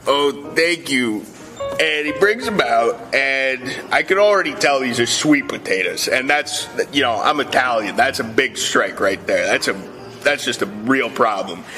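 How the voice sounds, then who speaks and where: A man playing an indignant Italian-American Bronx guy, telling his tale haltingly and repetitively in a radio comedy sketch. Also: mid-range voice, pushed and bright. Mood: matter-of-fact.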